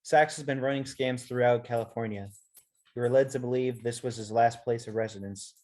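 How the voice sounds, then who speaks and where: A woman playing a formal, steady police detective in an amateur radio play, procedural and deadpan. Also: rumbling low, fairly quick.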